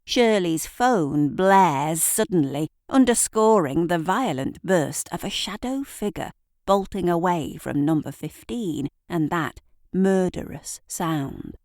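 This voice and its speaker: A woman reads scripted literary fiction as a theatrical storyteller. Her voice sits a little low and she takes her time, with very measured delivery. The sound is dry and close. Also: expressive, a little mellow, dry recording. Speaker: author and narrator.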